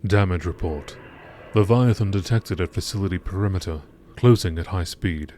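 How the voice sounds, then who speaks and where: A man's voice: deep voice, moderate pace, mellow, slight background noise, lightly acted. A man voicing a grave, impersonal sci-fi facility log in a formal, detached manner. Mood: grave, official. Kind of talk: scripted sci-fi game log narration.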